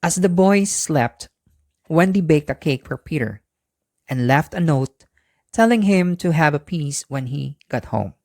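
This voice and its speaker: A storyteller reads a children's bedtime tale from a script at a middle pitch. The sound is dry and close, with no room around it. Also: lively intonation, measured pace, a little mellow, no echo.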